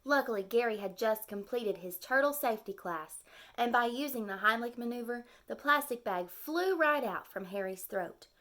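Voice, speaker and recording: A woman's voice: fairly high pitch, lively intonation, moderate pace, fairly bright tone. A woman reading and explaining a children's story expressively, in simple phrases aimed at young listeners.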